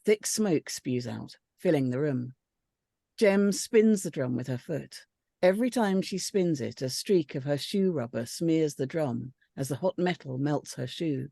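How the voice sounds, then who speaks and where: A woman's voice: low-pitched, animated, measured pace, creaky, warm tone, dry recording, clean studio sound. A woman reading out screenplay stage directions as scripted narration.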